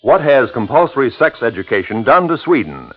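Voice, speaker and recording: A male commercial announcer with a mid-pitched, very animated delivery. He reads persuasively in a scripted 1950s radio advertising style. The recording is tinny and narrow. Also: brisk, faint music behind. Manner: rhetorical questioning, persuasive.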